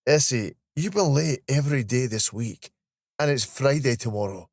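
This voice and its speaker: A man's voice: mid-range voice, expressive, normal speed, a little mellow, no echo, clean studio sound, voice pushed up. A man voicing a playful, teasing Scottish man in his thirties for a storybook.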